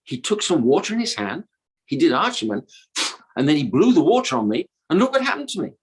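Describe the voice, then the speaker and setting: A man's voice: on the high side, very animated, fairly quick, breathy edge, pitched above the performer's own voice, low-quality recording. A man voicing a playful young divine trickster who imitates his beloved's female voice, coy and mock-amazed.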